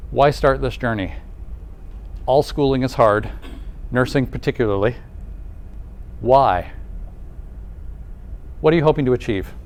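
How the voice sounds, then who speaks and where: A man giving a live welcome presentation at a nursing college, conversational and energetic, asking the audience many rhetorical questions. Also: mid-range voice, measured pace, pauses often.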